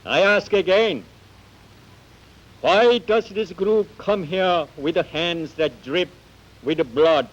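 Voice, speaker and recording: A man from Korea, giving an emphatic, accusatory formal speech as a delegate. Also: a little high. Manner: emphatic, declamatory with rhetorical repetition. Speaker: diplomatic delegate. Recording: dull 1950 archive recording.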